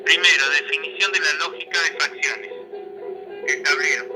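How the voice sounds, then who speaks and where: A man's voice: fairly high pitch, steady tone, normal speed, over music, poor audio. A man reads a political manifesto aloud in a declamatory, emphatic way, as a commentator would.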